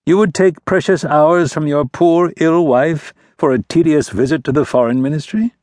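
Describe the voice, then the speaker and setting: A man's voice: mid-range voice, expressive, normal speed, darkish tone, no room sound, clean studio sound, voice pushed up, natural voice. A man voicing a scheming male ambassador prince of about forty, with a mocking, careless, lofty manner.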